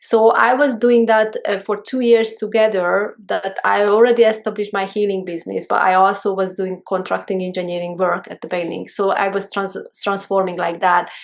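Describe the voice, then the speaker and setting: A middle-aged woman with a Hungarian accent, a guest in a casual interview, speaking in a halting way with many restarts. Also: medium pitch, level tone, creaky. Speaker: guest, holistic mentor.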